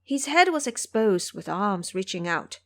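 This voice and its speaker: A woman's voice: mid-range voice, very animated, a little slow, a bit bright. A woman narrator telling a scripted story in the style of an audio drama.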